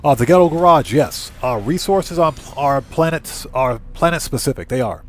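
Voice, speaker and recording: A man's voice: a little high, lively intonation, moderate pace. A man hosting a casual gaming livestream, talking informally to viewers as he plays. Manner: conversational, informal, reads out chat and answers it. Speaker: live streamer, channel host. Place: indoor room at home.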